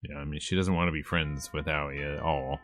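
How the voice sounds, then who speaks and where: A man with a very low voice plays through a visual novel. He narrates the text casually and comments on it in a wry, informal way. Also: steady tone, brisk, fairly bright tone, soft background music. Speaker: gaming streamer.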